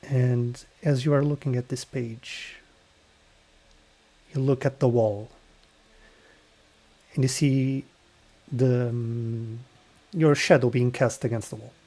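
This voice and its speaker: A man running a tabletop game, setting a dark scene in slow, unhurried narration. He talks in a halting way, pausing between phrases. His voice sits at a middling pitch. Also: fairly even intonation. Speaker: dungeon master.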